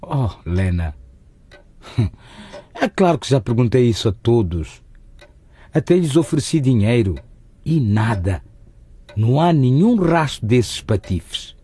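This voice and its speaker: A man's voice: mid-range voice, big pitch swings, slow pace, long pauses, dark tone, breathy edge, dry recording, exaggerated voice. An actor playing a middle-aged businessman father in a radio soap, courteous but evasive and easily irritated. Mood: irritated, guarded. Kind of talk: radio soap opera acting.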